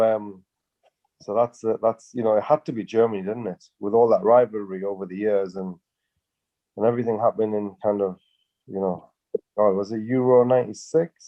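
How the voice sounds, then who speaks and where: A man's voice: lowish voice, fairly even intonation, normal speed, lo-fi sound. A man co-hosting a casual business chat, rambling through his points with many fillers and restarts. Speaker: co-host.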